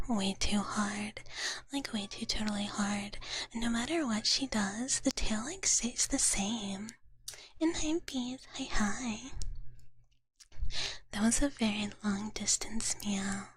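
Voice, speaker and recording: Woman, soft breathy whisper, higher-pitched, doting cutesy big-sister ASMR chat, repeated words, close dry microphone. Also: takes it slowly, dry recording. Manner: gentle, doting, repeats words, peppered with "like, totally". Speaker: ASMR streamer. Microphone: close microphone, dry sound.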